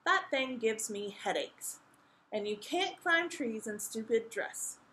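A woman's voice: fairly high pitch, expressive, slow, a bit bright. A woman voicing a stubborn, tomboyish young princess in a children's storybook, defiant and emphatic. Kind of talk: children's storybook read-aloud.

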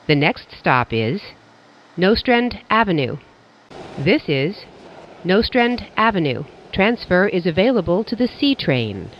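A train announcer reading scripted transit announcements in a clipped, measured, formal way. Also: mid-range voice, big pitch swings. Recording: dull lo-fi audio with clear background noise.

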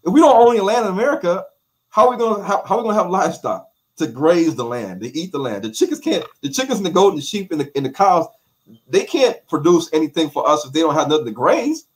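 A man's voice: high-pitched, very animated, quick pace. A middle-aged man as an interview guest on a video call, talking emphatically with repeated words and rhetorical questions. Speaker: documentary maker, guest. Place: bright room with light walls.

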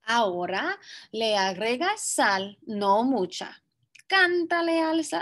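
A young woman reading a picture book aloud to children, expressive and deliberate in her phrasing. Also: a little high. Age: young adult. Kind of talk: children's story read-aloud with brief asides. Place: small indoor classroom.

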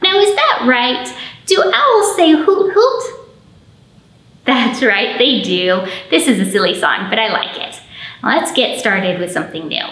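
A woman in her thirties hosting a children's storytime, reading aloud in an animated, encouraging way. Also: fairly high pitch, unhurried, reverberant. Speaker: children's librarian and storytime host. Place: indoor library storytime room.

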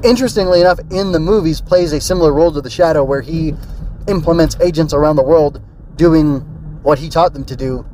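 Rambling male podcaster, slightly higher voice. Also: a little mellow, a little hiss or hum, rough recording. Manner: rambling, tentative, thinks aloud and digresses. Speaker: podcast host and film reviewer. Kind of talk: casual rambling solo review podcast.

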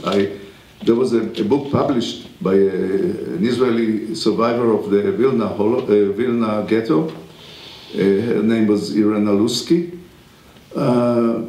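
An older man, a native Hebrew speaker, gives a university lecture at a podium mic in a lecture room, speaking haltingly with many pauses and restarts. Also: medium pitch, dark tone, roomy sound, a little hiss or hum, rough recording. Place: wood-panelled lecture room.

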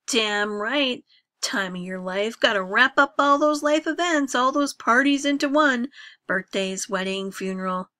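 A woman voicing a bitter young man, the eldest brother, in a sarcastic and loud manner within a story reading. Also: medium pitch, steady tone, slow. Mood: mocking.

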